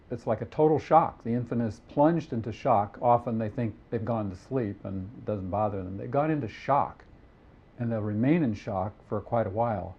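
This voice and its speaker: A man explaining his views gravely and emphatically, as an expert in an interview. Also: medium pitch, muffled recording.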